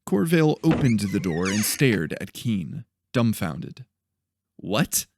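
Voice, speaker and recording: A man's voice: lowish voice, sing-song, a little slow, darkish tone, dry recording, clean studio sound. A man narrating dramatic fiction from a script as an audiobook storyteller. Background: faint music.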